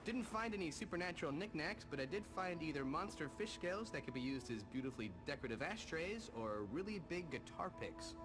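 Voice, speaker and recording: A young American man playing a goofy, joking sidekick in a video game, animated and excitable. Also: fairly high pitch, a little fast, few pauses, natural voice, rough recording. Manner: jokey, animated. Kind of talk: video game cutscene acting. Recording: music under the voice.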